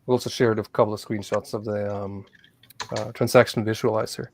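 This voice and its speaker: A man speaking as a guest on a livestream, explaining his project in a halting way with many fillers. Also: lowish voice, level tone, slight background noise. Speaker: guest, crypto project developer. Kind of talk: casual livestream chat.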